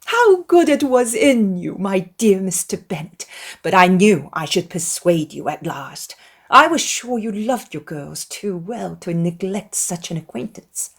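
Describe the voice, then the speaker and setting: A woman voicing an excitable, easily vexed middle-aged mother in a dramatized classic novel, with dramatic, fretful exclamations. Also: mid-range voice, a little slow, slightly rough. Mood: delighted, gushing.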